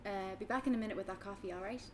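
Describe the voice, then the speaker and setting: A woman's voice: mid-range voice, racing, a little mellow, slight background noise, lightly acted. An actress playing a friendly barmaid in her early twenties in a read-through of a drama script. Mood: friendly, breezy. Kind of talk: informal table read of a script.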